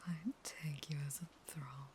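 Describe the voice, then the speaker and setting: A woman playing a slow, whispering, starving vampire lover. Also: low voice, steady tone, darkish tone, slight background noise. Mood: feverish pleading. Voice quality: breathy, mostly whispered. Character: starving caged female vampire, unstable, pleading and threatening lover. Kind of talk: intimate scripted audio roleplay.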